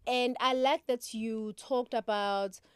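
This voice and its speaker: A woman's voice: a little high, fairly even intonation, slow pace, smooth, dry recording, studio quality. Chatty woman hosting. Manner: chatty, affirming, laughs often, switches into isiZulu. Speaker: podcast host. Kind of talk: casual podcast interview.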